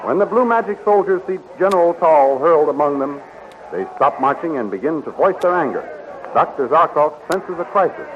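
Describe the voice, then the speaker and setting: A man's voice: fairly high pitch, animated, brisk, noisy background. A man narrating a 1930s radio serial as announcer, declamatory and dramatic. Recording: dull 1930s radio recording, faint music.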